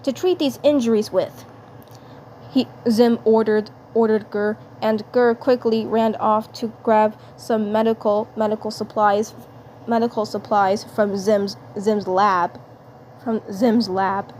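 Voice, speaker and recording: A woman narrating a fan fiction script haltingly, often repeating words and phrases. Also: mid-range voice, flat delivery, a little mellow. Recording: clear background noise.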